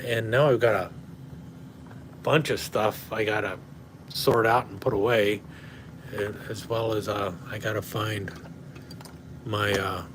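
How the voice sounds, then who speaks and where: A man hosting a casual livestream and rambling with lots of fillers and restarts, his voice slightly hoarse from talking. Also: fairly low pitch, slight background noise. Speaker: knife collector livestream host. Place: at home indoors.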